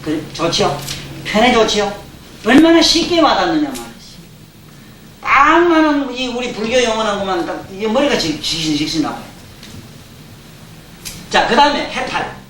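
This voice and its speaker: A man's voice: high-pitched, sing-song, moderate pace, pauses often, fairly bright tone. An older man with a Gyeongsang accent giving a Buddhist dharma lecture, folksy and joking, often asking his listeners questions. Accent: Gyeongsang dialect. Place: lecture hall. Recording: roomy hall, distant mic, faint hiss.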